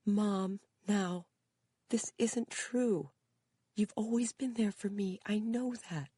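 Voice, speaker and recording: A woman's voice: mid-range voice, takes it slowly, warm tone, husky, no room sound. A woman reading aloud, voicing an adult daughter who tearfully reassures her mother. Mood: pleading. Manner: emotional, reassuring.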